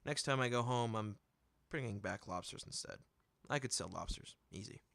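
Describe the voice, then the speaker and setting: A big, easygoing merchant man, played by a male narrator, wry and teasing as he talks. The voice is bright and close, in the manner of a fantasy storybook character. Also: on the low side, lively intonation, normal speed, gravelly, dry recording. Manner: jovial, joking, teasing.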